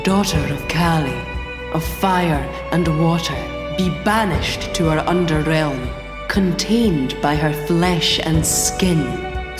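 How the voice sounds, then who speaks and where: A woman playing a cruel mother goddess pronouncing a curse, solemn and commanding, in an audio drama. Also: deep voice. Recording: long cavernous reverb, music behind.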